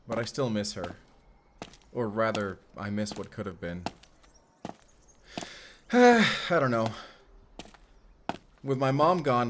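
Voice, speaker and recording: Man playing a low-voiced, guarded vampire hunter. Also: a little hiss or hum, close to an everyday voice. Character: weary young male vampire hunter, guarded, self-doubting guide. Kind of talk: cinematic audio roleplay acting, intimate.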